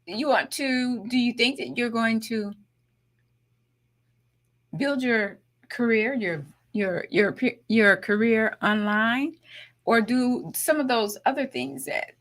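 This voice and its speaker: A woman's voice: fairly high pitch, expressive, takes it slowly, a bit bright. Lady radio host, voice goes up and down a lot, keeps cheering the guest on. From Saginaw, Michigan. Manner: encouraging, frequent affirmations. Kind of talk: casual radio interview. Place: radio studio.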